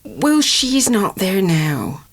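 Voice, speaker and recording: A woman playing an evasive, embarrassed rural mother in a dramatised audiobook, speaking haltingly. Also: mid-range voice, wildly swooping pitch, breathy edge, heavily acted. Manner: slow, halting, reticent.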